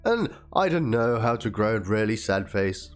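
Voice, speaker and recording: Low-pitched man doing a dramatic reading over music. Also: very animated, normal speed. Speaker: narrator reading aloud. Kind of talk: dramatic reading of a written chat log and document. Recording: music under the voice. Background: instrumental background music.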